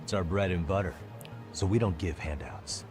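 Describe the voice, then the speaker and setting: A man's voice: sits low, moderate pace, lightly acted. A man voicing a dry, cool-headed detective. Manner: dry, sardonic. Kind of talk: video game dialogue. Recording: game audio with music behind.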